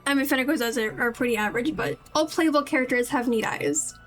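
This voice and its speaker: High-voiced woman streamer chatting over game. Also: quick pace, fairly bright tone, soft background music. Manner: rambling, playful, self-deprecating. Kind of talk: casual live game-stream chat.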